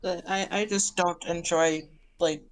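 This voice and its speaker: Lower-voiced woman, hesitant chat. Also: steady tone, measured pace. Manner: hesitant, laughs easily. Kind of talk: casual chat.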